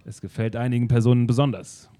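A man's voice: medium pitch, little pitch movement, fast talker, warm tone, faint music behind, natural voice. A man playing a pompous detective in an improvised radio play, declaiming with theatrical emphasis. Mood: grandiose. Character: pompous theatrical male detective, grand speeches. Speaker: improv performer.

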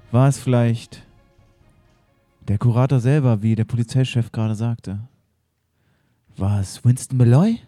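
A man's voice: medium pitch, dark tone, no room sound. A man hosting and narrating an improvised radio play in a playful, jokey way. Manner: playful, jokey, laughs often. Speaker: host and narrator.

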